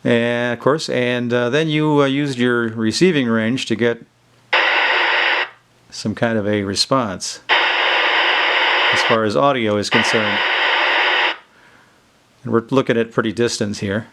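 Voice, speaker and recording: A man's voice: medium pitch, fairly even intonation, slow pace, long pauses, pushed and bright, clear, resonant tone, rough recording. Male video host, mid-range voice, informal and folksy, walking viewers through a product demo. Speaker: video host showing vintage electronics. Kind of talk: casual product demonstration. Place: indoors in a basement.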